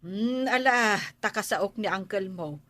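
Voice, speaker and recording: An actress playing a doubtful, questioning village aunt with an Ilocano accent in a radio drama. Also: female voice, medium pitch, measured pace, fairly bright tone, no room sound, natural voice.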